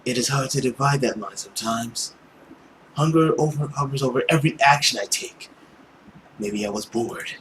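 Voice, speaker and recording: A man voicing a brooding, cynical, tormented man in a visual novel, slow, hushed and solemn. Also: medium pitch, slight background noise, natural voice, low-quality recording. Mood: brooding, weary. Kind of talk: dramatic visual novel reading.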